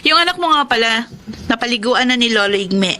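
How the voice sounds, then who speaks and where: A woman's voice: on the high side, expressive, a little fast, pushed and bright, slight background noise. A woman playing a brash, nosy young friend who works in a bar, blunt and exclamatory, in a Filipino radio drama. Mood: teasing, blunt.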